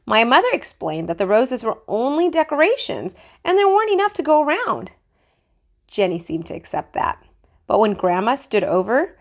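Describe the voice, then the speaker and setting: A woman reading a children's book aloud like a teacher, with expressive storytelling. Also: medium pitch.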